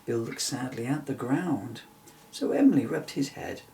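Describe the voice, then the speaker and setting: A man's voice: mid-range voice, expressive, normal speed, vocal fry, warm tone, distant mic, slight background noise. A man reading a rhyming children's story expressively, as a storyteller.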